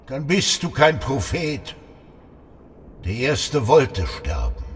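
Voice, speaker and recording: An actor playing a brooding, stubborn old whale in a literary radio drama, speaking solemnly and deliberately. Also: male voice, mid-range voice, sing-song, big-room sound, faint music behind. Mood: brooding, insistent. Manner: solemn, slow, deliberate, retelling and questioning.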